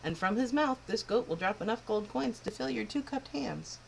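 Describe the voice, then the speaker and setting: A woman voicing a gruff old north wind. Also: on the high side, lively intonation, moderate pace, low-quality recording. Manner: proud, brusque, boastful.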